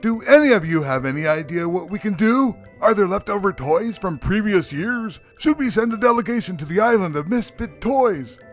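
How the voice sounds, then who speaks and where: A man's voice: high-pitched, lively intonation, moderate pace, hardly any pauses, faint music behind. A man playing a jolly, stubborn old Santa Claus in a comedy audio drama, with a grand, theatrical manner. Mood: urgent.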